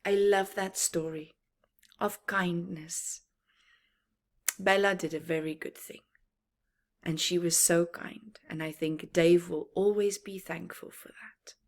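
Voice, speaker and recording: A woman reading a picture book aloud to young children, slow and deliberate, with dramatic, hushed storytelling delivery. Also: lowish voice, steady tone.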